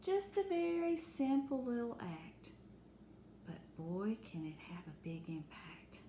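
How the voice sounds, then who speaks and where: A woman reading a picture book aloud to children in an expressive, instructive teacher's manner, dwelling on key words. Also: on the high side, takes it slowly. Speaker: storytime reader and teacher. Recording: roomy echo with faint background noise.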